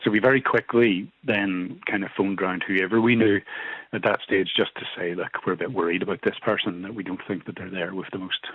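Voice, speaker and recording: Male cleric, low voice, halting and measured, heard down a phone line. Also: steady tone, husky, no room sound. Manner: hesitant, repeats words, recounts events slowly. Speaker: church minister. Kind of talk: radio interview guest. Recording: tinny dry phone line.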